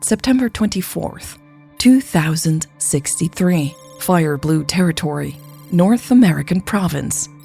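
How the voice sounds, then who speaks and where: A woman's voice: on the low side, animated, unhurried, vocal fry, dark tone, breathy edge, soft background music. A woman reading a scripted story as a narrator, voicing the dialogue as she goes. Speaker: audio fiction narrator.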